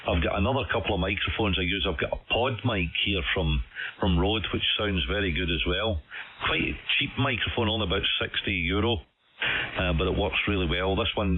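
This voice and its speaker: A man's voice: low-pitched, phone-quality sound. A man, an amateur radio operator, chatting amiably and casually over a single-sideband radio link. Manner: amiable, chatty, uses "mate". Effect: shortwave single-sideband radio transmission. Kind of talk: casual radio contact chat. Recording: band-limited ham radio signal, faint hiss.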